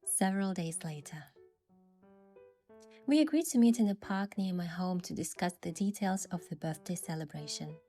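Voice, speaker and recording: A woman playing a gentle, thoughtful young heroine, narrating her game story softly and in measured scripted first person. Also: on the low side, faint music behind, natural voice.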